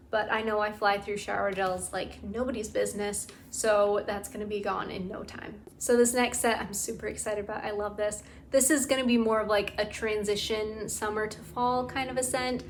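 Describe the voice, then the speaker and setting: Chatty woman with a tired, raspy throat. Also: medium pitch, slight background noise. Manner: enthusiastic, gushing, lots of "like". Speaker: beauty and scent vlogger. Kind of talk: casual chatty product walkthrough. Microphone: camera mic.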